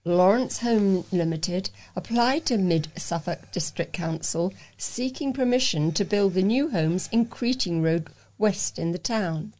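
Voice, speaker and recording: A woman's voice: medium pitch, darkish tone. A woman reading local news aloud as a volunteer reader, carefully, with occasional stumbles over words. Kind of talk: read-aloud local news for a talking newspaper.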